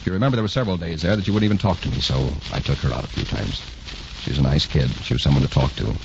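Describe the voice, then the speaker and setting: An American actor playing an earnest, intense young doctor torn between love and career, in 1940s radio drama style. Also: male voice, very deep voice, very fast, creaky. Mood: pleading, resolved. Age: young adult. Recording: dull, noisy 1940s radio recording.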